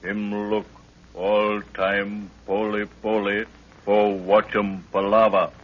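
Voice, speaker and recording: An actor playing a huge, loyal, superstitious Maasai prince in halting pidgin English in a 1930s radio drama. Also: male voice, lowish voice, monotone. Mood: awed, warning. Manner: halting pidgin English mixed with African words. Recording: dull lo-fi 1930s radio recording.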